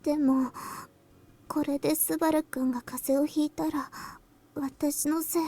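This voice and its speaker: A woman voicing a petite, quiet, caring schoolgirl in a visual novel, soft-spoken and hesitant. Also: high-pitched, little pitch movement, brisk. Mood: worried, earnest. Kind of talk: Japanese visual novel voice acting.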